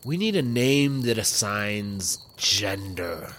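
Guy doing american ex-cop in a comedy audio drama, blustery schemer, unhurried but lively, bit of background noise. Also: lowish voice. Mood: casual, teasing.

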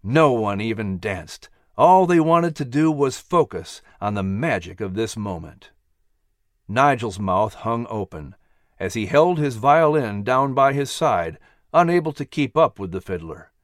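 Animated male storyteller with swooping pitch. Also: unhurried, no echo, clean studio sound. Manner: dramatic, expressive delivery. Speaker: audiobook narrator. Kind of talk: animated scripted storybook narration.

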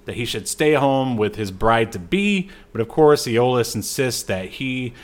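A man with an average-pitched voice co-hosts a film podcast. He recaps and comments casually, feeling his way through sentences and drifting into little asides. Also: expressive, a little slow. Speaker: podcast co-host.

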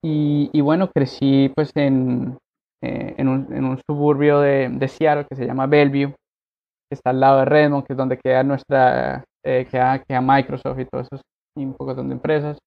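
Man from Colombia, gravelly voice, relaxed podcast guest, dull lo-fi sound. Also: mid-range voice, normal speed. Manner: relaxed, many fillers and affirmations. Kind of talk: conversational interview.

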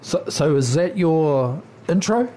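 A man with a New Zealand accent hosting a podcast in casual, relaxed talk with many hesitations. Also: fairly high pitch, slow pace, a little hiss or hum, poor audio. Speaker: podcast host. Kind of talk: casual interview chat.

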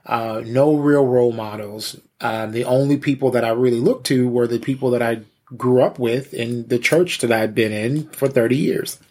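A man with a husky voice, a pastor and interview guest, giving candid personal testimony in a halting, conversational way with repeated words. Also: mid-range voice, fairly even intonation, a little mellow. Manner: halting, repeats words, frequent "you know".

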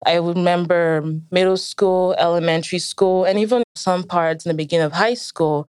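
Smooth-voiced young Nigerian American woman. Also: fairly low pitch, fairly even intonation, normal speed, darkish tone, no echo. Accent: American with Nigerian traces. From Nigeria and the United States. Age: young adult. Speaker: interviewee. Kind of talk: reflective personal storytelling in an interview.

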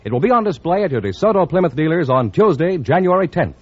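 A man's voice: fairly high pitch, big pitch swings, fast, breathy edge, dry recording. An American man announcing for radio in a polished, promotional, scripted 1950s style. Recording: muffled old-time radio recording.

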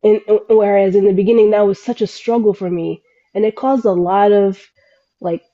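Guest, young woman, mellow tone, thoughtful with many restarts, from Sudan and the US, lo-fi call sound. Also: medium pitch, steady tone, normal speed. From Sudan and United States. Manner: reflective, searching for words with many restarts. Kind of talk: casual interview talk.

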